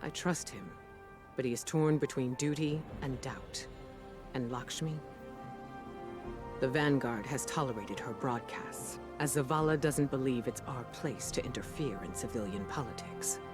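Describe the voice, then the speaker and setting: An actor playing a calm, resolute commander giving a grave, authoritative briefing in a game cutscene over music. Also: male voice, mid-range voice, a little slow, natural voice. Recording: music behind the voice. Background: cinematic music.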